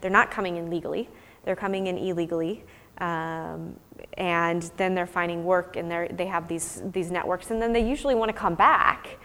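A woman's voice: lowish voice, steady tone, normal speed, fairly bright tone. An American woman researcher giving an academic talk and answering questions informally, thoughtful and explanatory in her phrasing. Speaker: researcher presenting fieldwork and answering audience questions. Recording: long hall reverb.

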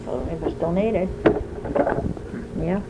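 A woman's voice: on the low side, slow, very noisy. An older woman from France with a French accent, telling her life story in a rambling, conversational way in an interview. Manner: rambling, conversational, many restarts. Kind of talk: oral history interview.

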